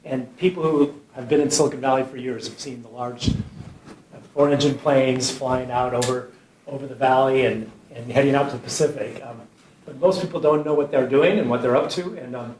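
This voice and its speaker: A man introducing a guest speaker, halting and eager, with plenty of fillers. He sounds set back from the mic in a roomy space, with faint noise behind him. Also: mid-range voice, fairly even intonation, darkish tone, far from the mic. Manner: halting, full of fillers, enthusiastic. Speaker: program host.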